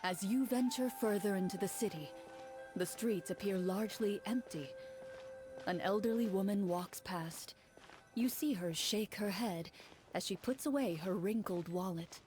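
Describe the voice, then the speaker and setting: An actress playing a young woman who narrates and runs a tabletop game inside a video game, describing scenes in a measured way. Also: mid-range voice, over music, natural voice. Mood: narrating.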